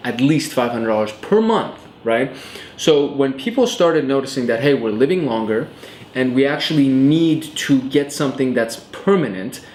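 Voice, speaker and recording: A man's voice: mid-range voice, a little hiss or hum. Chatty man hosting a money show. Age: 20s. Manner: chatty, talks straight to camera with fillers. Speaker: host. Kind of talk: casual educational vlog talk. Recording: roomy echo with faint ambience.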